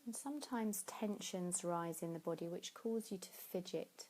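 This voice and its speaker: A woman leading a guided sleep meditation in a soothing, unhurried way, pausing between phrases. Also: lowish voice, a little mellow, a little hiss or hum. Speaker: yoga nidra meditation teacher.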